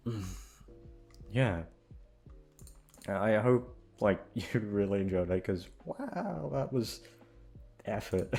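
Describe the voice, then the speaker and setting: A young adult man who works as an ASMR voice actor, talking in an unhurried, informal way. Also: on the low side, a little mellow. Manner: self-deprecating, halting. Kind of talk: casual, rambling chat to listeners.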